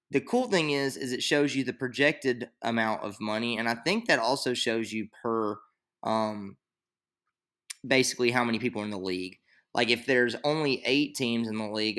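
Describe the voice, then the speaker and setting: A young man walks through a screen-share tutorial in loose, rambling talk full of fillers. His voice is bright and forward, at an ordinary middle pitch. He sits at a desk in a small room, close to his computer mic. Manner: rambling, filler-heavy, thinks aloud. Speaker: fantasy league tutorial maker.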